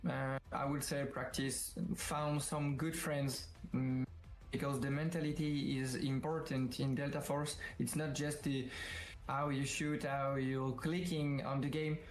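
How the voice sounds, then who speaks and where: French man answering haltingly, mid-pitched voice. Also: little pitch movement, faint music behind, poor audio. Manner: halting, non-native English phrasing. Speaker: esports player, team captain. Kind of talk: interview answers.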